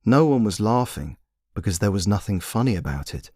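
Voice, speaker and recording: Male narrator, lowish voice, expressive pitch, scripted storytelling, dry close sound. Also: brisk, dry recording. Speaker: author and narrator.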